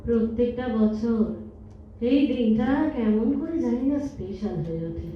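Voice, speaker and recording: A woman's voice: on the high side, moderate pace, music in the background, close to an everyday voice. A woman playing a sentimental old wife in an audio drama, speaking in a dreamy, poetic way. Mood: nostalgic, tender. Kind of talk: Bengali stage audio drama. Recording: muffled, echoey hall from far off, noisy.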